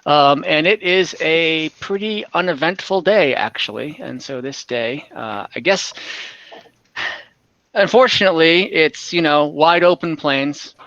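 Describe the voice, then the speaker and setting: A man narrating an online tabletop game as game master in a casual, halting way, often searching for words. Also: on the high side, fairly bright tone, no room sound, muffled recording.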